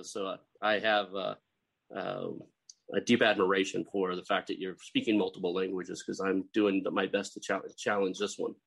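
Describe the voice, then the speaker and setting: A man's voice: low-pitched, vocal fry, a bit bright, slightly rough, rough recording. A middle-aged man from Oklahoma with a light Oklahoma accent, hosting a casual interview podcast and rambling with chuckles and fillers. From Oklahoma, USA. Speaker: podcast host.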